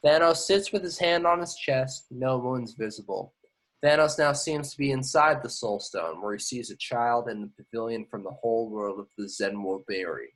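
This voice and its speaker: A man's voice: mid-range voice, normal speed, rough recording. A man reading screenplay stage directions aloud at an informal table read, occasionally stumbling over words. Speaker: action description reader.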